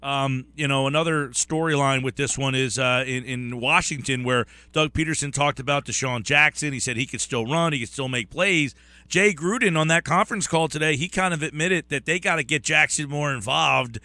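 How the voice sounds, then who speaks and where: A man's voice: on the high side, normal speed, sharp tone, no room sound. A man hosting a sports talk radio show, asking the guest questions in a conversational way.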